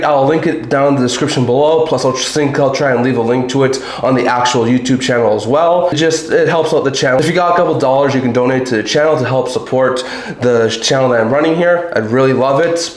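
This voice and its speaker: A man with a slightly raspy, under-the-weather voice, hosting informal sports news commentary. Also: mid-range voice. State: a little sick. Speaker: hockey channel host. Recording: roomy, echoing home recording.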